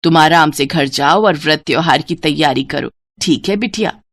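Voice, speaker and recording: A caring middle-aged mother from a Bihari family, as a woman storyteller plays her. She talks gently and reassuringly, as in a bedtime family tale. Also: low-pitched, normal speed, no room sound, pitched below the performer's own voice.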